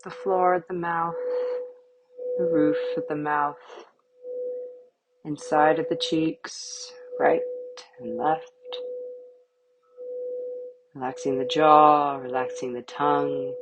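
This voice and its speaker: Woman doing guided yoga nidra, really slow and low voice, calm and soothing, short phrases with gaps. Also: background noise. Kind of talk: guided relaxation meditation.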